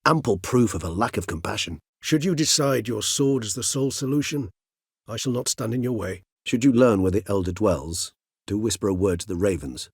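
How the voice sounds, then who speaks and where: Video game role: ancient gentleman vampire, low and slightly husky, courteous, learned, wryly melancholy, formal diction. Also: no echo, studio quality. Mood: grave, resolute. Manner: formal, eloquent, measured, wryly philosophical. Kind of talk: video game character dialogue.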